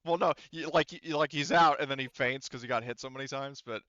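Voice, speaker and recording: A man works as game master in a casual tabletop session, with a bright, crisp voice. He swears and jokes his way through the narration, recorded close and dry. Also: mid-range voice, fast talker, dry recording, muffled recording. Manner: sweary, joking.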